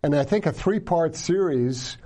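A man's voice: a little high, expressive, unhurried, mellow. A man hosting an interview, speaking emphatically and often repeating words as he starts a sentence. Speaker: interviewer, show host.

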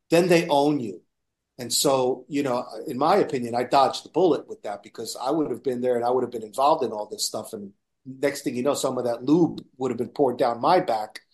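A man from the United States talks as a guest on a talk show, at an ordinary middle pitch. He rambles through a long personal story. He keeps retelling conversations in detail. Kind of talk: casual storytelling.

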